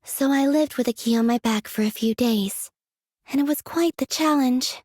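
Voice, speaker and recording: An actress voicing a gentle, aloof young woman in a video game, with a soft breathy voice and unhurried, wistful, quietly curious delivery. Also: high voice, dry recording, studio quality. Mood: innocent curiosity. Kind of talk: scripted game character voice lines.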